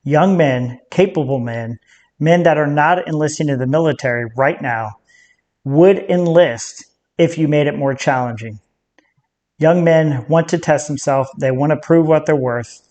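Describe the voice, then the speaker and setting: An American military veteran hosting a reaction video, speaking in a direct, conversational way. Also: male voice, fairly high pitch, a little slow, a little mellow. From United States.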